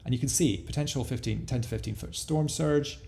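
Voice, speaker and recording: A man giving a casual, explanatory product walkthrough as a software demo presenter. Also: mid-range voice, fairly quick, creaky.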